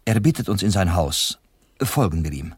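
An actor playing an amused, courteous Spanish interpreter in a German radio play, explaining in a measured way and mixing in Spanish phrases. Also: male voice, low-pitched, expressive, slightly rough.

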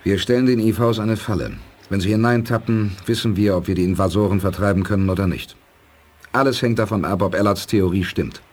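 Guy acting a space commander in an old german radio play, low voice, calm n measured, very sure. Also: close to an everyday voice. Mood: calm, resolute. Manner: measured, deliberate, authoritative. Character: calm, resolute male space commander planning a trap.

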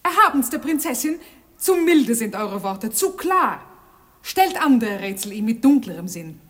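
Sly passionate lady-in-waiting, old radio play, theatrical, highish and very animated. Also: unhurried. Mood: urging, insistent. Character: scheming, passionate lady-in-waiting secretly in love. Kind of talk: classical German radio play acting.

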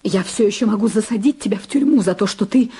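An actress in an audio drama playing a hot-tempered, defiant film star with a husky voice. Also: female voice, mid-range voice, fast talker, mellow. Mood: cold warning.

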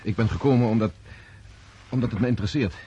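An actor in a Dutch radio drama playing an earnest, naive civilian gentleman, inquisitive and tentative, on a slightly dull recording. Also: medium pitch, quick pace, a little hiss or hum, lightly acted. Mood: earnest, hesitant.

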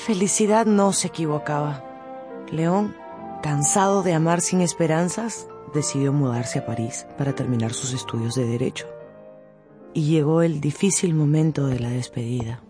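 A woman giving expressive, scripted storytelling narration for a radio drama. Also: low-pitched, moderate pace, music in the background.

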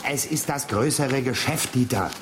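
A man's voice: medium pitch, moderate pace, lightly acted. An actor playing an ambitious, scheming businessman in a radio drama, speaking insistently and persuasively. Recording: noticeable background ambience noise.

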